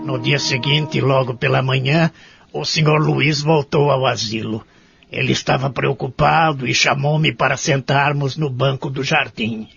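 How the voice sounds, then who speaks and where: A man's voice: mid-range voice, steady tone, a little slow, a bit bright, faint music behind. A Brazilian man playing a proud, stubborn, frustrated old nursing-home resident in an audio drama. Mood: reflective. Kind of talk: audio drama narration and dialogue. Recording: muffled, clearly noisy home recording.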